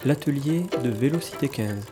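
A man's voice: mid-range voice, animated, moderate pace. A man reading out scripted closing credits for a radio documentary as an announcer. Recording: loud music over the voice.

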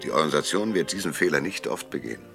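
An actor playing a cynical private detective in a German radio crime drama, narrating and talking in a dry, laconic, sardonic way. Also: male voice, a bass voice, lively intonation, racing, gravelly, music in the background, full character voice. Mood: wry, pondering. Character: hardboiled, cynical male private detective, narrator of his case.

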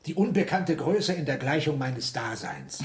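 An actor playing an anxious but dogged accused man in a radio drama, speaking haltingly with a sardonic streak. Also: a little high, big pitch swings. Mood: rueful, sardonic. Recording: faintly noisy 1980s radio drama.

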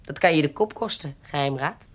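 An actress playing a proud, defiant young woman in her twenties in a period radio drama, commanding, accusing and sharp-tongued. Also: sits low, a little fast. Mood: cool, threatening. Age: 20s.

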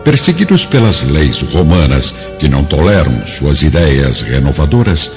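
Very deep, dramatic male radio narrator. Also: very animated, unhurried. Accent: Brazilian. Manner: solemn, formal. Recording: dull lo-fi radio drama, music behind.

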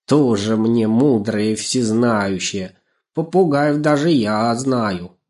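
Storybook tomcat, played by a man: smug and scornful, slow mocking drawl. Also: mid-range voice, a little mellow, lightly acted. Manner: mocking, snorting. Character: scornful, smug male house cat.

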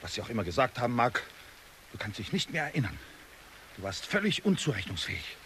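Agitated middle-aged father, wildly swinging pitch. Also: big pitch swings, fast, fairly bright tone, slight background noise. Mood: furious, despairing. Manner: exasperated, scolding, brooding. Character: agitated, bitter father of a grown son, easily enraged. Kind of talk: German radio drama acting.

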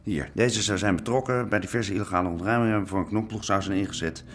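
Actor as a low-voiced fervent activist. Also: male voice, fast, a little hiss or hum, natural voice. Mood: reading eagerly. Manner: eager, rousing, insistent. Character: fervent, idealistic male tenants' activist. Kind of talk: radio drama acting.

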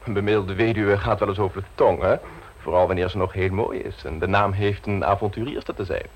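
An actor playing a smooth, self-assured scheming secretary in a radio play, teasing and unflappable, with a husky voice. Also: male voice, deep voice, fairly even intonation, fairly quick. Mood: curious, charming. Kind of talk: radio play acting in Dutch.

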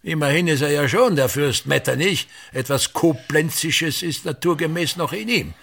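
An actor playing an obsessive, domineering old theatre director in a radio play, with a pompous, declamatory delivery. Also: male voice, a little high, moderate pace, vocal fry, fairly bright tone. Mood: critical, pedantic. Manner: declamatory, pompous, ranting in fits and starts.

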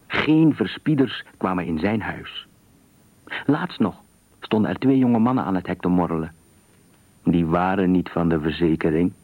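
A man narrating a literary radio thriller story in a measured, expressive storytelling manner. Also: deep voice, breathy edge, no room sound.

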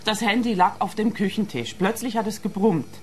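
An actress playing a distraught young woman who is shaken and halting in a radio play. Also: medium pitch, darkish tone, a little hiss or hum, close to an everyday voice.

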